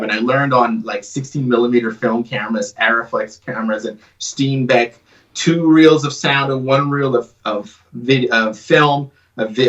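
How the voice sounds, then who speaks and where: A man's voice: medium pitch, fairly bright tone. A man, a comic creator chatting as a guest in a jokey, rambling way. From Canada (Vancouver). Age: 40s. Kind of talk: casual interview chat. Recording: dull distant remote audio.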